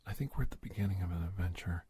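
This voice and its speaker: Man playing a husky, low-voiced, soothing government agent. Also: dry recording. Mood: gently persuasive. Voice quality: breathy, husky, gravelly, often dropping to a whisper. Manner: hesitant, gentle, pausing often. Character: calm, reassuring male government agent investigating aliens. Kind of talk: soft-spoken ASMR roleplay.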